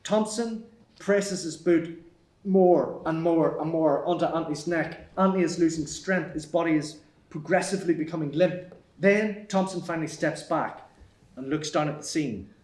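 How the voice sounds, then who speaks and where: Casting: male narrator for screenplay action lines, higher-pitched, recorded with clear room echo. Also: moderate pace, darkish tone. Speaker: narrator reading stage directions. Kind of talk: scripted screenplay narration at a table read. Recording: echoey room.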